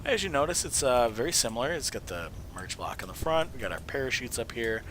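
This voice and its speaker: A man whose voice is mostly gone from a cold, hoarse and at times slipping into a whisper, yet still bright and edgy in tone. He rambles through a casual walkthrough, correcting himself and cracking jokes. A faint hiss of noise sits under the recording. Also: mid-range voice, expressive, fast. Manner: rambling, self-correcting, jokey. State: voice mostly gone from illness, sniffling. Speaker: video host showing game builds.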